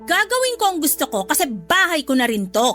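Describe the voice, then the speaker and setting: A woman's voice: sits high, very animated, a little fast, a bit bright, soft background music. An actress playing a cruel, haughty stepmother in a radio drama, scolding and bossy. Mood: threatening. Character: cruel, domineering live-in stepmother, spiteful and haughty. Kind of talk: Tagalog radio drama acting.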